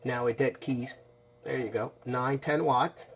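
A man presenting an unscripted product demonstration as a seller, explaining each step casually with a halting, instructional delivery. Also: medium pitch, fairly even intonation, vocal fry, heavy background noise.

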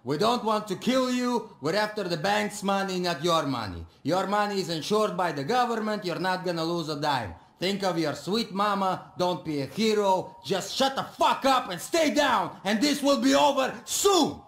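A hard male bank robber in a video game, blunt and threatening. His raised voice is full of gravel, with a clear room echo. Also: high voice, expressive, normal speed, hardly any pauses, a bit bright. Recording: echoing room sound.

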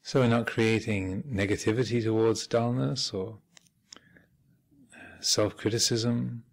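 A man speaking as a meditation teacher, giving measured guided instruction with thoughtful pauses and gentle phrasing. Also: on the low side, steady tone, vocal fry. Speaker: Buddhist monk and meditation teacher leading a retreat. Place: meditation hall at a retreat center.

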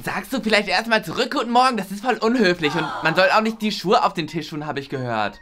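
A woman's voice: medium pitch, animated, fast talker, fairly bright tone, faint music behind, close to an everyday voice. A woman playing Bibo, a prim, lecturing schoolgirl who complains about manners. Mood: prissy. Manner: prissy, lecturing, whiny. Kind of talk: comedy web series acting.

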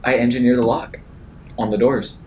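A man's voice: mid-range voice, steady tone, normal speed, a little mellow, slight background noise. A man talking casually through decisions as a player in a tabletop game.

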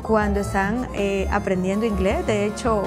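A woman's voice: medium pitch, fairly even intonation, moderate pace. Salvadoran lady in her 30s chatting with a guest, friendly interviewer, music in the background. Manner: friendly, conversational, recapping. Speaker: interviewer, business consultant. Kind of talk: casual interview. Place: small classroom, indoors. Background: soft background music.

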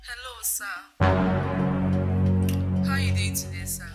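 A woman's voice: fairly high pitch, takes it slowly, loud music behind the voice. A woman playing a polite young health centre worker in a comedy skit. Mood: courteous. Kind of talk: comedy skit acting, customer call.

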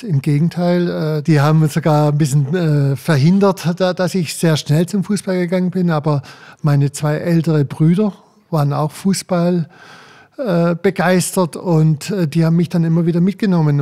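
A man in his sixties with a slight Berlin accent, recalling his past in an interview at a desk mic in a small room, halting with many fillers. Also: on the high side, mellow. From Berlin, Germany. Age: 60s. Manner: halting, many fillers, slows when remembering. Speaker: guest, former footballer. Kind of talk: interview, personal recollections. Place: small room with window, seated at table. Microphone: desk podcast mic.

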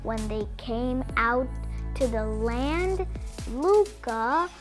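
A woman's voice: fairly high pitch, animated, extremely slow, over music. Young girl reading a storybook aloud. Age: child. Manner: reads text loudly, comments softly to viewers. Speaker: storybook reader. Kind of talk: reading a picture book aloud with comments.

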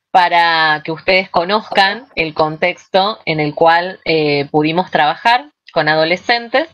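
Middle-aged female presenter, lowish bright voice, dull video-call sound. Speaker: workshop presenter. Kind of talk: online presentation.

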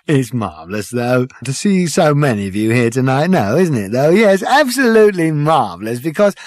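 A man's voice: mid-range voice, very animated, normal speed, a little mellow, no room sound, studio quality, pitched above the performer's own voice, close to an everyday voice. A man voicing a showy male cabaret compère with ironic, mocking showbiz patter. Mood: smarmy.

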